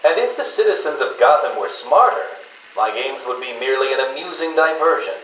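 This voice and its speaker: Male actor as arrogant, volatile American game villain, genius criminal patient. Condescending, very animated delivery, echoey taped interview. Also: mid-range voice, fairly quick, lightly acted. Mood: mocking. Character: arrogant, brilliant male riddle-obsessed criminal mental patient, taunting and volatile. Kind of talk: video game villain voice acting, interview dialogue. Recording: echoey taped interview, faint background noise.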